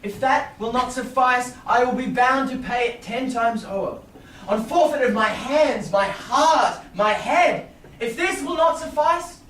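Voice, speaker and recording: A woman playing a devoted male friend pleading earnestly in a staged Shakespeare reading. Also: a little high. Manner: earnest, emphatic, declamatory. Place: small theatre stage, indoors. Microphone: far audience camera. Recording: echoey stage, distant camera, faint noise.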